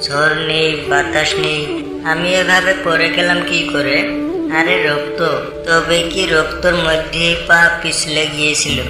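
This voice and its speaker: A kindly, bewildered village man played by an actor muttering dramatically to himself in a fairy-tale cartoon. His voice is fairly high and echoey, under loud music. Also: little pitch movement, measured pace, natural voice. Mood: startled, alarmed.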